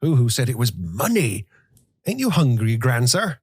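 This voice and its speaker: A man's voice: mid-range voice, very animated, moderate pace, breathy edge, no room sound, studio quality, pitched above the performer's own voice. A man voicing a cheerful, uneducated wild boy with rustic, ungrammatical speech, in an audiobook. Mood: matter-of-fact. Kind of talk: audiobook character voice.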